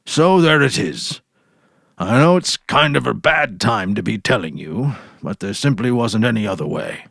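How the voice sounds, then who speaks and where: A man's voice: on the low side, lively intonation, normal speed, gravelly, a bit bright, no room sound, clean studio sound, full character voice. A man voicing a weary, brusque senior policeman husband in a dramatic story reading. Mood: grave, awkward. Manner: terse, brusque.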